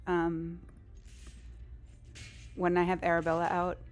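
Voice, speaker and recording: Casual, slightly low-pitched woman streamer. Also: monotone, slow pace, faint music behind. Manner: rambling, self-deprecating, informal. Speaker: live streamer. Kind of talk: casual gaming stream chat.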